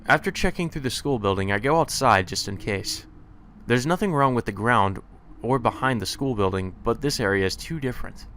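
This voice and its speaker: A man's voice: mid-range voice, expressive, a little fast, fairly bright tone, slight background noise. A man reading a visual novel aloud as a let's player, mixing the game's text with casual asides.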